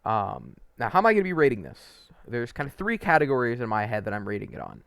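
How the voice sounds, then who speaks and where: A man's voice: mid-range voice, very animated, quick pace, vocal fry, a bit bright, smooth, dry recording, studio quality. A man giving casual, opinionated video game commentary as a host, conversational and a little hesitant, wandering into asides.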